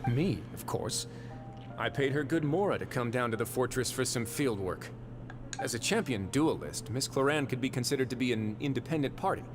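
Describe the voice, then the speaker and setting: An actor playing a measured, wry and authoritative prison warden in a video game. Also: male voice, medium pitch, expressive, music in the background, natural voice. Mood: calm, assured. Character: composed, shrewd adult male prison fortress warden. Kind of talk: video game dialogue acting.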